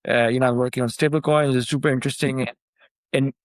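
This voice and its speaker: A man from Pakistan, a startup founder, talking casually in a remote interview. He speaks loosely with lots of fillers and gets animated when he is excited. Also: medium pitch, fast, no echo.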